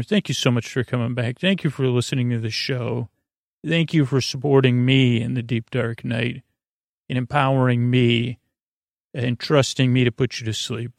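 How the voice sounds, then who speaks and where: A male podcast host with a very creaky, fry-laden voice at a middling pitch. He wanders through a bedtime monologue meant to send people to sleep, stopping and restarting with plenty of ums. The sound is close and dry, with no room around it. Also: dry recording. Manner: meandering, halting with many ums and repeated words. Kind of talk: rambling bedtime monologue to lull listeners to sleep.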